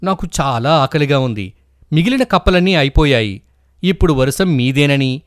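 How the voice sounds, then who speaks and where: A man's voice: fairly high pitch, animated, moderate pace, no echo, clean studio sound, lightly acted. A man voicing a weak, hungry, cunning snake in a children's story, coaxing and pleading. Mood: menacing.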